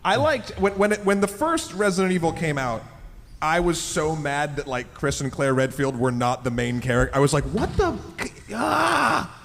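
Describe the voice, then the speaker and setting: A man co-hosting a live podcast in a playful, excitable, stop-and-start way, recorded in a convention hall. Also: fairly high pitch, moderate pace. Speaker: podcast co-host. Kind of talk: casual live podcast banter. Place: large indoor convention panel room with live audience. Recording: echoey convention hall, clear background noise.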